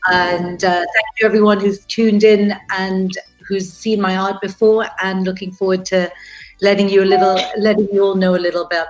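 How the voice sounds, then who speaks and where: A woman's voice: medium pitch, little pitch movement, normal speed, soft background music, poor audio. A middle-aged woman from Kenya with a British accent, chatting casually about her life as a guest artist over a video call, with many fillers and restarts.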